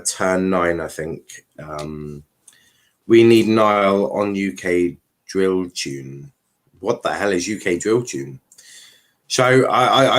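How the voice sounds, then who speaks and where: A man hosting a livestream watchalong, giving casual race commentary with informal, sweary, joking asides. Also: low voice.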